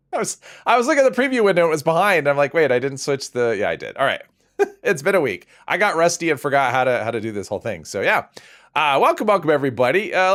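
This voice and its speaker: A man's voice: fairly high pitch, fairly bright tone. A man hosting a casual game livestream, greeting viewers by name and chatting as he plays, a little sniffly. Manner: chatty, reads out viewer names, laughs easily. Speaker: game streamer.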